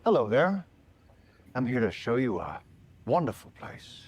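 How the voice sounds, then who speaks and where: An actor playing a smooth, 1950s-style male salesman pitching a nuclear-age paradise in a trailer. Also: very animated, a little slow, heavy background noise. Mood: grandly promotional. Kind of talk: retro promotional pitch in a film trailer. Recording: loud orchestral music behind.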